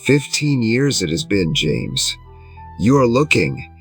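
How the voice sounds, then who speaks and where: A man voicing a smug, patronising English gentleman of sixty-one in an audio drama, pompous and effusive in his phrasing. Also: medium pitch, very animated, slow pace, soft background music, pitched above the performer's own voice. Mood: effusive, condescending. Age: older. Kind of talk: audio drama character dialogue.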